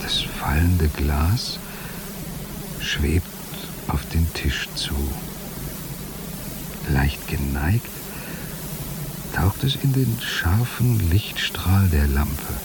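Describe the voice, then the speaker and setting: An entranced, extremely slow, low voice. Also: male voice, heavily acted. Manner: halting, hushed, trance-like. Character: entranced man watching time stand nearly still. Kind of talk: slow, poetic radio play monologue. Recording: clear hissing noise behind voice.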